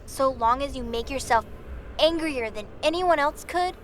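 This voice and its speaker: A woman's voice: sits high, moderate pace, slight background noise, close to an everyday voice. A woman voicing a cocky young goddess who taunts her foe in a teasing, laid-back drawl, over music. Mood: somber.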